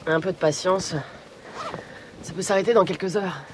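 An actress playing a wistful, encouraging polar researcher in a radio drama, speaking in reflective, short phrases. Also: female voice, fairly low pitch, normal speed, background noise, lightly acted. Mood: patient, encouraging. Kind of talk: naturalistic French radio drama acting.

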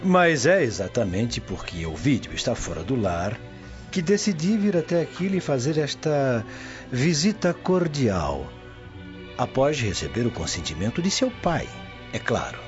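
Slow, unctuous Roman prefect. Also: male voice, mid-range voice, big pitch swings. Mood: smoothly amorous. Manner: unctuous, courtly, formal. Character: smooth, insinuating Roman prefect courting a married woman. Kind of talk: Brazilian radio drama acting. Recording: muffled radio drama with music.

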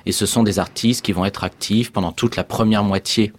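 A man who curates an exhibition, a guest in a radio studio, explaining things in a calm, measured way. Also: on the low side. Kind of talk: radio interview.

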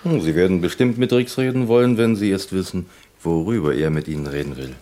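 A man's voice: low voice, a little fast, dark tone, lightly acted. A man playing a smug, insinuating gangland henchman in a 1960s German radio play.

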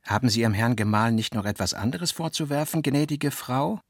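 A careful, courteous divorce lawyer in a radio novel, voiced by a male narrator. He probes formally and politely, with insinuation under the manners. The voice is husky and creaky, recorded very dry. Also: mid-range voice, animated, a little fast, dry recording. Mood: politely probing.

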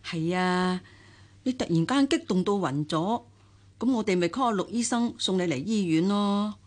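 An actress playing a kindly, fussing household maid in a Hong Kong Cantonese radio drama, chatty and soothing. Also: female voice, medium pitch, animated, normal speed, natural voice. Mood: concerned, soothing.

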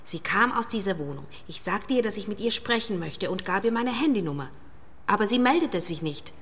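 A woman's voice: mid-range voice, expressive, brisk, fairly bright tone, husky. An actress playing a young woman looking for an old friend in a radio play, puzzled, chatty and inquisitive. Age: young adult. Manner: conversational, inquisitive.

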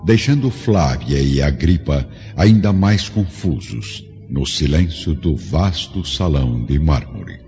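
A man's voice: a bass voice, expressive, slow pace, few pauses, dark tone. A man with a Brazilian accent giving solemn, dramatic scripted narration for a radio drama. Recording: muffled, long reverb, music behind.

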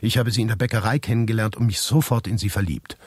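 An eccentric, morbid writer , played by a man in a radio drama. He is frank and misanthropic, speaking deliberately in a low, husky voice with lively swings, recorded close and dry. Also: no echo. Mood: confiding. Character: odd, morbid male writer, hungry, tipsy and frankly misanthropic. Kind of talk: literary radio drama dialogue.

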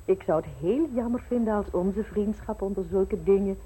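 A woman's voice: mid-range voice, moderate pace, dark tone, a little hiss or hum, close to an everyday voice, poor audio. An actress in a radio play voicing a genteel, sweetly insinuating society lady with an arch, coy manner.

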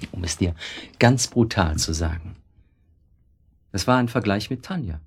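An actor in a German radio drama playing an evasive, husky-voiced husband who makes excuses to his suspicious wife. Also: on the low side, expressive, unhurried, heavily acted. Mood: sardonic, amused.

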